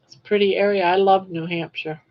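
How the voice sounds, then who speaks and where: A woman's voice: mid-range voice, fairly even intonation, a little fast, muffled recording. Older Maine woman, chatty livestream. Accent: southern Maine. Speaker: livestream host. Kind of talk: casual chat while crocheting, reading viewer comments.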